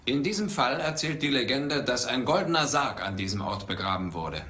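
An actor playing a driven, wry archaeology professor and adventurer in a dubbed film, dry and casual with hesitations. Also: male voice, mid-range voice, lively intonation, fairly quick, bright, forceful tone. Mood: distracted, lecturing. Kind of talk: German film dubbing.

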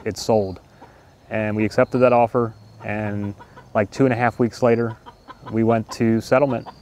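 A man's voice: medium pitch, darkish tone. An older man vlogging casually to the camera outdoors in his garden, rambling along with lots of fillers and restarts. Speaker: homestead vlogger.

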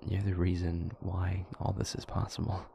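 Man acting as earnest young male friend, low voice, casual, hesitant, warm-hearted, intimate audio roleplay. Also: monotone, normal speed, creaky, slight background noise. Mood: earnest, apologetic.

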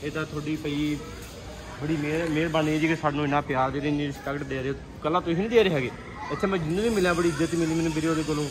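Male, Indian Punjabi accent, slightly high voice, very rapid inquisitive chat, noisy handheld sightseeing recording. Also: steady tone. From Amritsar, Punjab, India. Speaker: visiting guest on a travel vlog. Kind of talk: casual chat while sightseeing. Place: historic fort, museum rooms and open grounds. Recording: noisy handheld vlog with ambient noise.

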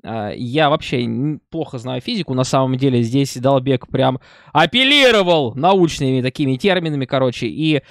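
A man's voice: mid-range voice, lively intonation, moderate pace, fairly bright tone, dry recording, clean studio sound. A man in his thirties giving casual reaction commentary from a room at home. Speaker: reaction video host. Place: small home room with shelves.

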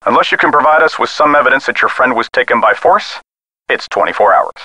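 A man's voice: medium pitch, expressive, fast, pushed and bright, breathy edge, no echo, exaggerated voice. A man playing a brusque, skeptical police sergeant in a video game, with a dry procedural manner. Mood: businesslike.

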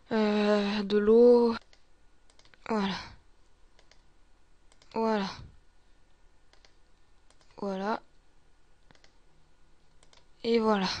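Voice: mid-range voice, darkish tone, no echo. A gamer narrating a zombie survival roleplay in character, muttering to themself and often dropping to a whisper. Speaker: gaming video creator playing a survivor.

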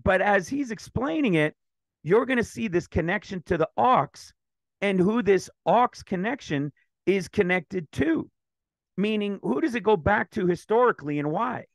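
Excited man with a slightly raspy throat. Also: high voice, moderate pace, no room sound. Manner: excited, rambling with restarts. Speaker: ministry teacher. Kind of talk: screen-share Bible teaching.

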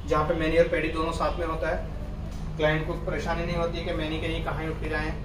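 A slightly high-voiced man in his thirties explains salon services point by point. He works as a hairstylist and talks informally, as on a tour. The sound is roomy and away from the mic, with clear background noise. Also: completely flat delivery, fast talker, distant mic. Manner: explanatory, listing services item by item. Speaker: salon hairstylist and skin specialist. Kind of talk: informal promotional walkthrough. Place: indoor salon with hard tiled floor. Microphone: camera a few steps away.

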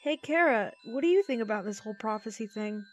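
Casting: woman as an eager, caring little monster boy, high register, faint music under the comic dub. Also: animated, quick pace, lightly acted. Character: kind, eager young goat monster boy.

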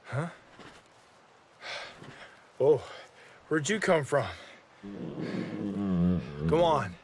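An actor playing a wary lone survivor in a video game cutscene, talking to an animal and muttering to himself. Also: male voice, a little high, animated, extremely slow, slight background noise. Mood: soothing, cautious.

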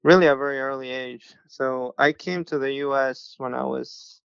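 A man from Mexico tells his story as a podcast guest, his voice gravelly yet smooth, held to a fairly level pitch. He speaks in an earnest, steady way. The sound is dry and a little dull. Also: normal speed, no echo. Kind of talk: casual interview storytelling.

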